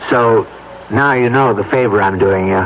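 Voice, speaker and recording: A man's voice: on the low side, normal speed, close to an everyday voice. An American actor playing a scheming, persuasive city official in theatrical old radio drama style, pressing his case insistently. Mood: confident scheming. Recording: noisy, tinny old radio broadcast.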